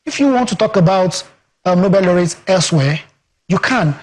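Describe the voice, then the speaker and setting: A man's voice: high-pitched, lively intonation, moderate pace. Nigeriaanse man, Yoruba-accent, ietwat hese stem, vrij hoog, nadrukkelijk, tv-studiogesprek. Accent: Nigerian English, Yoruba. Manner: emphatic, rhetorical, leans on "guess what" and "you understand". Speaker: communications expert guest. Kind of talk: studio TV interview.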